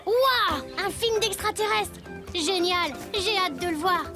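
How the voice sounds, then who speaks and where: A woman's voice: very high-pitched, slow pace. A woman voicing a cheerful, patient and enthusiastic child in a cartoon, with an eager way of talking. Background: music.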